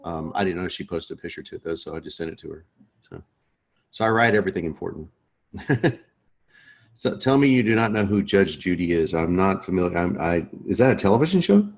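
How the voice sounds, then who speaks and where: Deep-voiced man joking on a video call. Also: expressive, a little fast, a little hiss or hum. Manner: joking, laid-back. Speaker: course host. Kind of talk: casual chat before a lesson.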